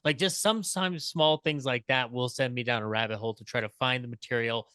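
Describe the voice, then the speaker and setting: A man with a bright, smooth and crisp voice speaks as a panelist in a dry, close sound. He is earnest and analytical, explaining details in a casual enthusiast's way. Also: mid-range voice, fairly even intonation, brisk, no echo. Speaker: panelist and podcaster. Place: online video call panel.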